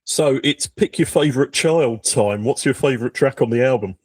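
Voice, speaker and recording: A man with a mid-range voice puts measured, friendly questions to a guest. His voice sounds dry and close, with little room around it. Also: mid-range voice, slightly rough, no room sound. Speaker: interviewer. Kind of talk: interview questions.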